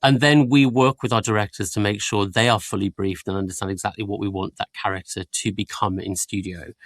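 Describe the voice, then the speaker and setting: A man's voice: lowish voice, fairly bright tone, no echo. A man answering panel interview questions as a production guest, articulate and explanatory, often dropping in small filler phrases. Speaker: audiobook production team member interviewed as a guest.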